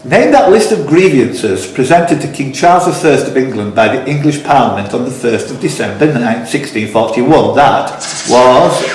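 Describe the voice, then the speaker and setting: A man's voice: mid-range voice, expressive, moderate pace, few pauses, darkish tone. A man hosting a live quiz, reading out questions and rambling into teasing, jokey asides. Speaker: quiz host. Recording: echoey room, slight background noise.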